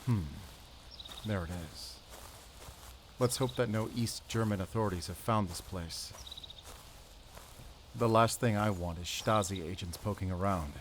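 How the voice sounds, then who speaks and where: Man acting a low-voiced, weary monster hunter. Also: measured pace, long pauses, background noise, natural voice. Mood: somber, weary. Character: weary, determined male German werewolf hunter. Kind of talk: cinematic audio roleplay acting, addressing a silent companion. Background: cinematic ambient sound effects.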